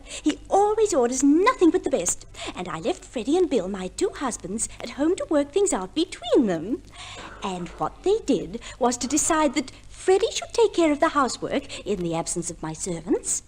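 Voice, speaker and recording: An actress playing a breezy, self-absorbed upper-class wife with an airy, imperious manner in a 1950s radio comedy. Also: female voice, high-pitched, huge pitch swings, normal speed, a little mellow, slight background noise, low-quality recording. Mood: amused, confiding.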